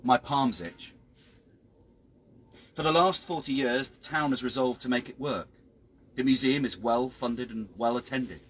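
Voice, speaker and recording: A man reading his own story dramatically outdoors, with measured, hushed and suspenseful delivery. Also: medium pitch, slight background noise. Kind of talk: dramatic scripted story narration. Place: outdoors by a town well.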